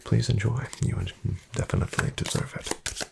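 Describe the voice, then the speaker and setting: A man doing a soft-spoken ASMR roleplay as a courteous casino dealer, breathy and often near a whisper, in formal, measured patter. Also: mid-range voice, fairly even intonation, very noisy. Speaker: ASMR performer playing a casino card dealer. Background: card shuffling and clicks.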